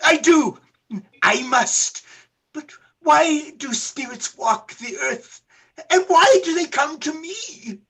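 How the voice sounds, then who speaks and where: A woman playing a frightened, skeptical old male miser in a theatrical radio play, with a husky voice and halting, agitated delivery. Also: high-pitched, lively intonation, a bit bright, exaggerated voice. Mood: terrified, pleading.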